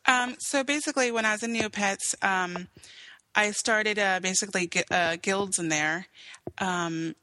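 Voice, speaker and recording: An American woman from Hawaii, answering as an interview guest in a relaxed, chatty way with many ums. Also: medium pitch, measured pace, dry recording, low-quality recording.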